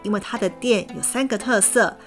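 A woman narrating a children's fairy tale in a lively, expressive way. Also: medium pitch, a little fast. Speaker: storyteller. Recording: background music.

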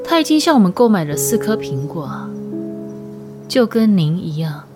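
An actress playing the courteous, faintly sinister boss of a magic shop in a radio drama, measured and knowing. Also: female voice, medium pitch, sing-song, over music, close to an everyday voice. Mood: cool, knowing.

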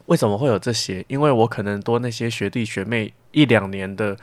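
A young man speaks Mandarin with a Taiwanese accent in a rather low voice. He answers as a student interviewee, reflectively working through examples one step after another. The sound is very dry. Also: fairly quick, no echo. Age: young adult.

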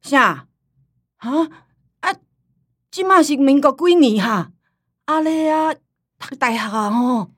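Scolding, forgetful old Taiwanese granny. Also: female voice, high voice, expressive, takes it slowly, pauses often, no echo, studio quality. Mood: confused, indignant. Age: older. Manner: loud, rambling, scolding. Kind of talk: radio drama acting in Taiwanese Hokkien.

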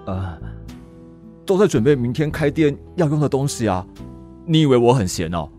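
A stubborn middle-aged man grumbles and protests in a lively, swinging voice, as a man acts him. Faint music plays under the drama. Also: mid-range voice, moderate pace, close to an everyday voice. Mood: huffy. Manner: huffy, flustered, protests loudly. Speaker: stubborn dad. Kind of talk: BL audio drama acting.

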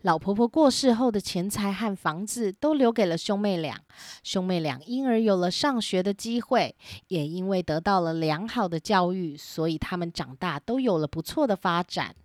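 Woman storyteller for a kids' show, gentle, mid pitch, dry close sound. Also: expressive, normal speed, no echo. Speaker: storyteller host. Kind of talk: children's story narration. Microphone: simple home recording setup.

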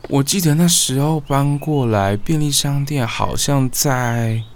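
A man's voice: mid-range voice, a little slow, natural voice. A man acting a chatty young newcomer in an audio drama, muttering playfully to himself in Taiwanese Mandarin. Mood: musing. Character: chatty, wry young man new to the neighborhood. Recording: clear noise behind the voice.

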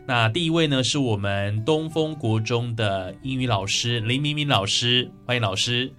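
A man's voice: mid-range voice, normal speed, fairly bright tone, faint music behind. A man with a Taiwanese Mandarin accent hosting a radio interview. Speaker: radio host.

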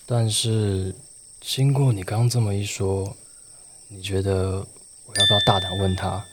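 Low-voiced, dreamy Taiwanese schoolboy played by a man. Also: flat delivery, a little slow, slight background noise, lightly acted. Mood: playful, hopeful. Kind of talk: Mandarin radio play acting and first-person narration.

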